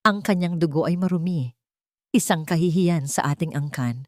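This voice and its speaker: A woman voicing a proud older rich matriarch in a dramatic story, calm, hard and without remorse. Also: sits low, normal speed, dry recording, clean studio sound, lightly acted. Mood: cold, justifying. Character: cold, proud, unrepentant older wealthy matriarch.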